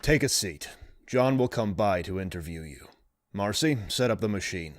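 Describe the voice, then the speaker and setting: A man playing a gruff, suspicious wasteland security guard in an audio drama, curt and commanding. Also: fairly low pitch, animated, moderate pace, background noise, natural voice. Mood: stern, suspicious. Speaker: voice actor.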